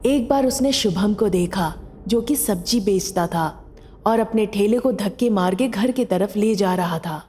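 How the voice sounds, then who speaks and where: A woman's voice: medium pitch, unhurried, dark tone, big-room sound, slight background noise. A woman narrating a scripted story as a storyteller.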